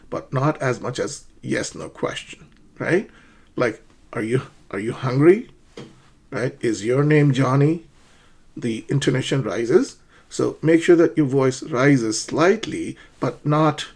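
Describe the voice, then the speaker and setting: A man's voice: medium pitch, darkish tone, slightly rough. A man teaching an English lesson, patient and encouraging, often checking in with "right?" and acting out sample questions. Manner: acts out sample questions with rising intonation. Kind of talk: ESL lesson.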